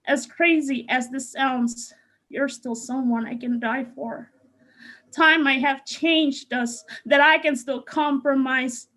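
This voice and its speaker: A woman recites a spoken-word poem about longing. Her voice is a bit high, and her delivery is soft and yearning. She speaks slowly. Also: fairly even intonation. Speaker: poetry contestant.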